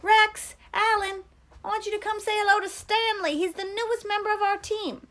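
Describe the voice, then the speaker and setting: A woman's voice: extremely high pitch, steady tone, normal speed, pushed and bright, pitched above the performer's own voice. A woman voicing an upbeat, preachy male camp counselor in a storybook reading, encouraging and patronizing. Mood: brisk, calling out.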